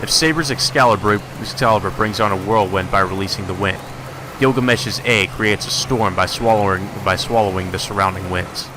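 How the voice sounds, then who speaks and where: A man's voice: on the low side, a little fast, background noise. A man doing a let's play, reading a visual novel aloud and breaking off for irreverent, sweary asides. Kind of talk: narrating a visual novel with crude off-the-cuff commentary.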